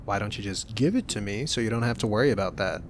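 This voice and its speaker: A young man with a lowish voice talks softly and gently, teasing in a playful way. It is an intimate ASMR roleplay delivery. A steady hiss runs under the recording. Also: fast talker. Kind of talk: soft-spoken ASMR roleplay.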